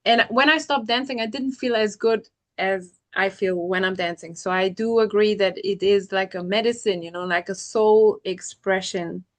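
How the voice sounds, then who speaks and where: A woman's voice: mid-range voice, fairly even intonation, normal speed, hardly any pauses. Woman hosting a podcast chat. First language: Portuguese. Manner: thoughtful, rambling, peppered with "you know" and soft laughs. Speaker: podcast host. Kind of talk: casual conversational interview.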